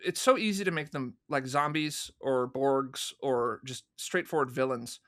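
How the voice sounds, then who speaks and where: A man being interviewed as a guest, explaining his creative choices in a thoughtful, articulate but halting way, stressing key words. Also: mid-range voice, a bit bright. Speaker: interview guest, audio drama producer and writer.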